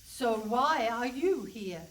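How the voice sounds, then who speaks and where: Woman playing bossy, high-voiced older English police detective. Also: animated. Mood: brusque, dry. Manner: brisk, dry, condescending, calling people pet and love. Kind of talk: comic radio play before a live audience. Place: hall stage with a live audience. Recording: distant stage pickup with some noise.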